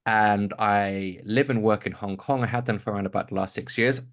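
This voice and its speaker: A middle-aged man from Kent speaks as a podcast guest. His voice sits fairly low, and he answers questions in a loose, reflective way, circling back and restarting his sentences. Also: fairly even intonation, a little fast. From England, Kent. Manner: thoughtful, rambling, many restarts and fillers. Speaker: guest, investing author and podcaster. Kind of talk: conversational podcast interview answer.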